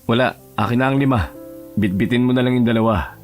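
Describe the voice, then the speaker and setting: A man voicing a gruff but helpful young office worker in an audio drama, curt and brusque, over music. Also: mid-range voice, fast, close to an everyday voice. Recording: music bed behind the voice.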